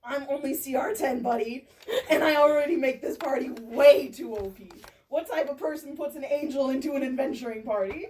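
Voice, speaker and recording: Woman playing an exasperated little angel man, high-voiced. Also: brisk, darkish tone, recorded from a distance, slight background noise, natural voice. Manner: irritated, blunt, incredulous. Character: exasperated little bald male angel, gruff and sarcastic. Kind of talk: comic fantasy fan fiction reading.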